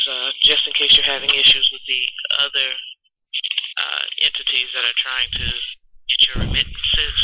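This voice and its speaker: Forceful, even-toned man on a phone call. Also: medium pitch. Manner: patient, procedural, step-by-step instructing. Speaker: tax agency phone agent. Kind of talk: customer service phone call. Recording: telephone call audio.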